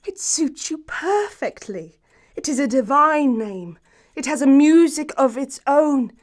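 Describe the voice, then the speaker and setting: A woman's voice: high voice, lively intonation, measured pace. A woman playing a self-assured, romantic young upper-class English lady, poised and precise, in an audiobook stage comedy. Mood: dreamy, emphatic. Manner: poised, precise, grandly emphatic.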